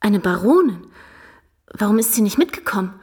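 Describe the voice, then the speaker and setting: A woman's voice: medium pitch, very animated, normal speed, a little mellow, breathy edge. An actress playing a shy, curious young provincial lady in a radio drama, hesitant and earnest. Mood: wondering. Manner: hesitant, wondering, simple direct questions.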